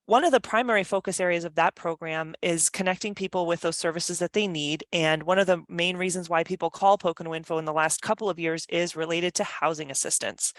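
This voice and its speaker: A woman's voice: lowish voice, no echo. Earnest woman presenting on a video call. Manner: earnest, many ums and uhs. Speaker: nonprofit staff presenter. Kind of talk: virtual meeting presentation.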